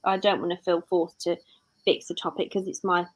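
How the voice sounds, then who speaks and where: A woman's voice: on the low side, little pitch movement, a little fast, poor audio. British woman rambling in live chat. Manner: rambling, self-correcting. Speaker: live-stream host. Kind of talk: casual live-stream chat.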